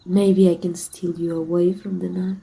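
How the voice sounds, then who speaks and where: A woman from Mexico voicing a teasing, tender female villain in an intimate ASMR roleplay, soft and breathy with some whispering. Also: on the low side, little pitch movement, normal speed. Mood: flirtatious, teasing.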